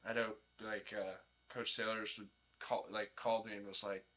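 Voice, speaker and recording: A young man with a slightly low, clearly bright voice. He talks like a college athlete in a casual interview. His phrasing is informal and easygoing. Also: flat delivery, normal speed. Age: young adult. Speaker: college baseball player, podcast guest.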